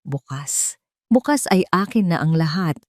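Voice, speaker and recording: A power-hungry villainess wife, played by a woman narrator in an audio drama. She is sweetly commanding and a little low in pitch, with a Filipino accent. The sound is dry. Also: expressive, unhurried, darkish tone, no echo. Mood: tense greed.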